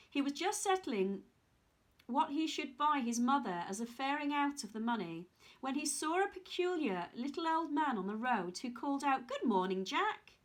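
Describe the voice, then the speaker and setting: A woman reading a children's story aloud in an expressive storytelling style. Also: high-pitched.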